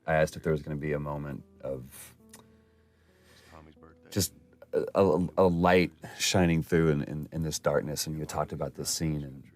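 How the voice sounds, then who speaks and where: A man's voice: very deep voice, fairly even intonation, normal speed, faint music behind. A man giving reflective, rambling behind-the-scenes commentary as an actor looking back on his work.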